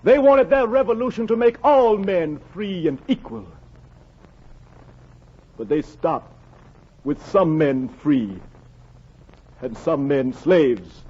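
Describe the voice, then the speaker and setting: A muffled vintage radio recording carries a defiant enslaved revolutionary. His high, husky voice moves slowly through solemn, impassioned oratory in old 1940s radio drama style. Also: animated, full character voice. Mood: solemn, stirred. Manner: slow, measured, impassioned oratory.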